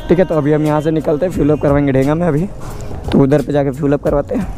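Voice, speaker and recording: A young man with a hoarse, strained voice, chatting casually to viewers while riding a motorbike outdoors. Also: on the high side, fairly even intonation, racing, music in the background. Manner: chatty, addresses viewers directly. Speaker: motovlogger. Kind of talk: casual motorcycle vlog narration. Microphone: helmet-mounted action camera.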